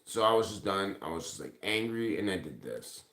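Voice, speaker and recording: A man's voice: low-pitched, big pitch swings, fairly quick, fairly bright tone. The sound is echoey, like a phone in a bare indoor room. A man talks casually to his livestream viewers. His delivery rambles and is thick with profanity. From Ontario, Canada. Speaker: live streamer. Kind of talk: casual livestream chat, replying to comments.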